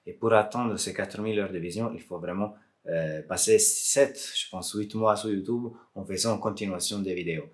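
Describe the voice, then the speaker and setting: A man's voice: on the low side, normal speed, few pauses. A man from Italy speaking French with an Italian accent, not his native language, chatting casually to camera as a tech YouTuber, friendly and joking with hesitations.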